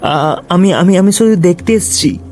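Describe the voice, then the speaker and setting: A brash young man from a horror cartoon, acted by a man, with a raised, excitable voice. He is a skeptical city youth in a ghost tale, with music underneath. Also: high voice, measured pace, pitched above the performer's own voice. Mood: terrified. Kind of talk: animated horror story acting.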